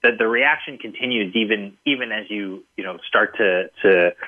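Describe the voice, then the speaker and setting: A man's voice: on the low side, moderate pace. A man being interviewed as a book's author, answering thoughtfully with many fillers and restarts. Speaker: guest author. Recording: tinny phone-line audio.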